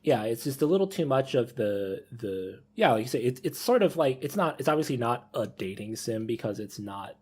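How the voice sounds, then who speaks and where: A man's voice: mid-range voice, sing-song, moderate pace, few pauses, a little mellow, slightly rough. A man hosting a casual podcast discussion, rambling and rephrasing as he thinks aloud. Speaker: podcast host.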